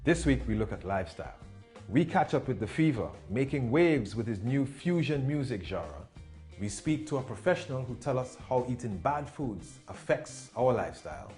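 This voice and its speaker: A man's voice: medium pitch, expressive, normal speed, darkish tone. A man presenting a scripted magazine programme in a narration style. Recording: music bed under voice. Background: music.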